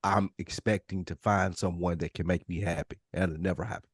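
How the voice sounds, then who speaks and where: A man's voice: sits low, steady tone, brisk, breathy edge, no echo, studio quality. A man co-hosting a podcast, talking casually in an earnest, emphatic way and repeating his points. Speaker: podcast co-host. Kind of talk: casual panel discussion.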